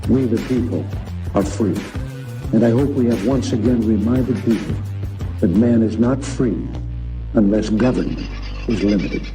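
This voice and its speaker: A man's voice: fairly low pitch, unhurried. Distant man giving a speech over guitar music.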